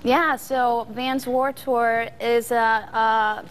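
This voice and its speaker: Playful young woman, fairly even pitch. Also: extremely slow. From born in Tokyo, moved to America as a child. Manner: playful, joking. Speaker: musician guest. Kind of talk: casual interview chat. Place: television studio.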